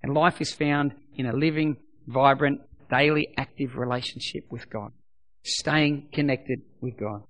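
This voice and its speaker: A middle-aged man preaching as a pastor, his voice a bit croaky. He talks emphatically, repeating his points and dropping to a soft voice for prayer. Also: medium pitch, fairly even intonation, a little slow. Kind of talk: sermon.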